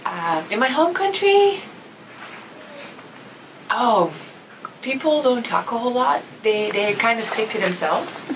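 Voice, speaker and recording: A woman's voice: fairly high pitch, expressive, slow, long pauses. Easygoing woman in her thirties. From Netherlands. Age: 30s. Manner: easygoing and chatty, with many fillers and frequent laughter. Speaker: international student coordinator. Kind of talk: casual self-introduction to students. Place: classroom. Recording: slightly distant mic with faint background noise.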